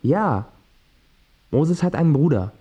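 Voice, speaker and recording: A man's voice: fairly high pitch, big pitch swings, takes it slowly, warm tone, slightly rough, full character voice. An actor playing a guarded, cheeky teenage boy in a radio drama, laconic and evasive.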